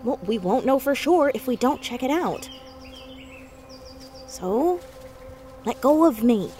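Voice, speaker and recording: Stubborn, high-voiced teenage girl. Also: animated, warm tone, slight background noise. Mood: stubborn, challenging. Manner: brisk, defiant, dryly sarcastic. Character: determined, stubborn, defiant teenage girl playing amateur detective. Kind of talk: naturalistic audio drama acting.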